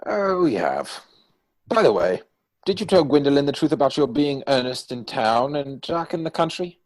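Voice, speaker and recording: A man acting a flippant, lively Victorian dandy. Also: medium pitch, moderate pace, close to an everyday voice. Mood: arch. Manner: arch, teasing, mannered phrasing. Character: flippant, witty, idle young Victorian gentleman. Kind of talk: stage comedy dramatic reading. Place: home, recorded over video call.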